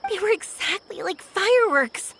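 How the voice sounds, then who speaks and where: An actress voicing a cheerful, warm-hearted young woman in an anime-style video game, earnest, dreamy and expressive. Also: very high voice, moderate pace, slight background noise, full character voice. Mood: wistful, awed. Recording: background music under the voice.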